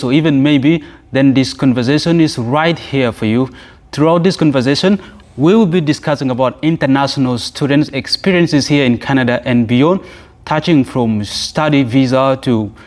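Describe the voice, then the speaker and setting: A man's voice: on the high side, a little mellow. South Sudanese man hosting a podcast. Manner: hesitant, repeats words while thinking. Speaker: podcast host. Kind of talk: conversational interview.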